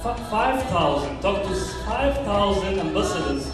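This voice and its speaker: A man's voice: high voice, big pitch swings, a little slow. A man gives a fervent speech to an audience at an award night. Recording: echoey hall, distant mic, music.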